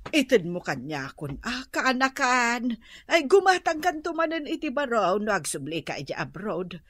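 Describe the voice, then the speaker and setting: A woman's voice: a little high, big pitch swings, moderate pace, a bit bright, a little hiss or hum. A woman acting a bossy, wheedling auntie in a radio comedy drama, full of exclamations, with an Ilocano accent.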